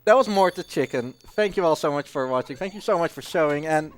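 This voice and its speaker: A man's voice: on the high side, expressive, a little fast, background noise. A man giving casual, jokey live commentary as he plays through a video game. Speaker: speedrunner. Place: live gaming marathon event. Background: game music.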